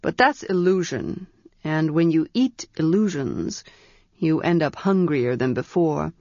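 A woman reading an audiobook, voicing a gentle, patient male wizard with dry, quiet humor. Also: sits low, animated, a little slow, no echo. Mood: calm, wry. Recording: dry, slightly dull audiobook recording.

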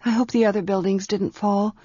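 A woman's voice: medium pitch, steady tone, a little fast, warm tone, breathy edge, dry recording, natural voice. A woman reading an audiobook, voicing an earnest young former priestess who asks breathless, wondering questions. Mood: anxious. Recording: dry, slightly dull audiobook recording.

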